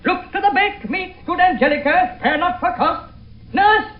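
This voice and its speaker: An actor playing a bustling, excitable old lord with commanding, theatrical delivery in a 1970s radio Shakespeare play. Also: male voice, very high voice, normal speed. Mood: bustling, impatient. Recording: echoey room, dull old radio recording.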